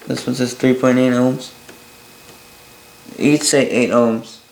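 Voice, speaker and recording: A Canadian man casually demonstrating gear in an unscripted, halting way, thinking aloud as he goes. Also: medium pitch, steady tone, vocal fry, darkish tone. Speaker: hobbyist presenter demonstrating a vintage boombox. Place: indoor room at a workbench by a window. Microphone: camera mic a short distance away. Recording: roomy, with noise and clicks.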